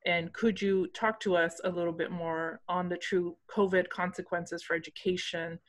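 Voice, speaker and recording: A woman's voice: on the low side, flat delivery, normal speed. A woman moderating a webinar panel, encouraging and repeating key points for emphasis. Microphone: video call.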